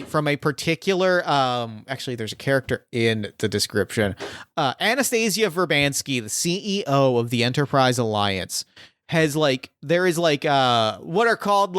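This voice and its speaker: A man improvising narration as a game master in a tabletop roleplaying game, haltingly thinking aloud as he builds each detail. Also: medium pitch, fairly bright tone, clear, resonant tone, no echo, studio quality.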